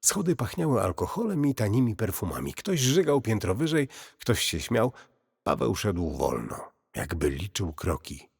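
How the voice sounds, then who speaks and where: Deep gravelly man narrating in long reverb. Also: sing-song, normal speed. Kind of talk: scripted first-person horror audio story narration.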